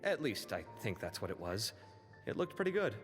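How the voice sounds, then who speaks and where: A man playing an expressive, fussy and chatty young architect in a video game. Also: fairly low pitch, fairly quick, in a large hall, music in the background. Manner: animated, exclamatory, chatty.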